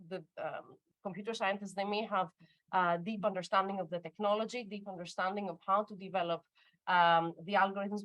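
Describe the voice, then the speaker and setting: Middle-aged woman academic on a panel, unscripted and halting, medium pitch, dry close sound. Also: no room sound, low-quality recording. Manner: hesitant, many fillers and restarts. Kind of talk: unscripted conference panel talk. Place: conference workshop room.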